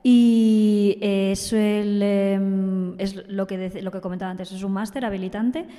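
A young woman speaking as an interview guest, explaining things in a hesitant, halting way. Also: mid-range voice, steady tone, dark tone. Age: young adult. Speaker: student teacher, interview guest. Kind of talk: casual spoken interview. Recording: long hall-like reverb.

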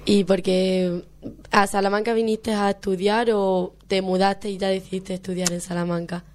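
Young female co-host, mid-pitched, inquisitive. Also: flat delivery, normal speed, a little mellow. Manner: curious follow-up questions. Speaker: radio co-host. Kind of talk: casual radio interview. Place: radio studio.

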